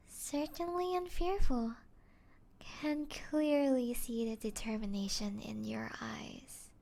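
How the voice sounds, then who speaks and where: A woman playing a taunting, playful female vampire in an ASMR roleplay, teasing the listener and often slipping into breathy whispers. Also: on the high side, warm tone, full character voice. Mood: mocking, amused.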